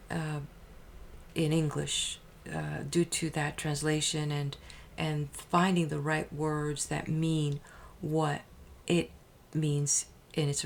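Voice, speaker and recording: Low-voiced woman musing thoughtfully. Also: slight background noise. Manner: thoughtful, musing, with hesitations and restarts. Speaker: audiobook channel host. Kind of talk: casual spoken introduction.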